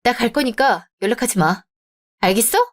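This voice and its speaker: A composed young woman in a radio drama, proud and firm, speaking in calm, measured sentences, as a woman voices her. She stays polite but does not give ground. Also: fairly high pitch. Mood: upset.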